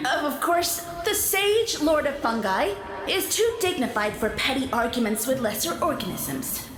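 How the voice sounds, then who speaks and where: A woman's voice: sits high, sing-song, measured pace, reverberant, background noise. A woman voicing a haughty, self-important mushroom lord in a video game, with grandiose, formal diction. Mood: indignant. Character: pompous talking mushroom, self-styled sage lord of fungi.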